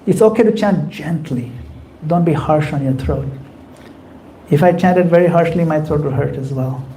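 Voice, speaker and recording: Man teaching, mellow and slightly high. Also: moderate pace, slight background noise, rough recording. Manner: conversational, acts out quoted voices. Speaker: spiritual teacher. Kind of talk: temple class with Q&A. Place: temple hall.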